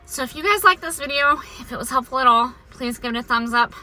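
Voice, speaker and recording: Young woman, high bright voice shaped by a mouth prosthetic, candid confessional vlog delivery, soft music beneath. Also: fast, low-quality recording. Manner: candid, reflective, encouraging. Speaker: vlogger sharing her cancer survival story. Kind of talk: personal talking-to-camera vlog.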